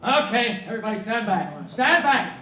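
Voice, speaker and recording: In an echoey old radio scene, a commanding police chief. The voice is forceful and pushed very high, snapping out clipped orders. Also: male voice, animated, moderate pace, full character voice. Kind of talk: 1950s radio drama acting.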